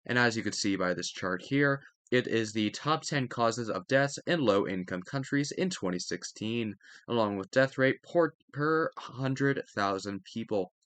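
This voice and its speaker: A man with a medium-pitched voice reads study material aloud. The sound is dry, with no room echo. His delivery is careful and instructional, with an occasional stumble that he corrects. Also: animated, a little slow, a bit bright. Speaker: narrator reading a history textbook aloud. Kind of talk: read-aloud educational narration.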